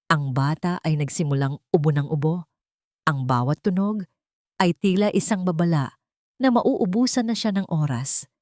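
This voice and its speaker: A woman with a fairly low voice tells a story in scripted, dramatic narration. The sound is dry and close, in the manner of an audio drama. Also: darkish tone, dry recording.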